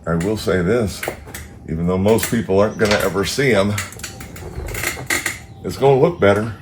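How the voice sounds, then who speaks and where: A man's voice: on the low side, normal speed, noisy background. A man with a stuffed-up nose from allergies, hosting a casual how-to vlog in his garage and thinking aloud with fillers. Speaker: car channel host. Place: home garage beside a car.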